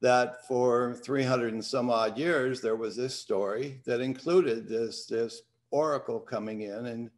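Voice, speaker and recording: An older man hosting from his home study over a video call, talking in a reflective way and reading a poem aloud. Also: mid-range voice. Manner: unhurried, reflective. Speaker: host. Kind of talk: casual talk and poetry reading. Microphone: video call webcam.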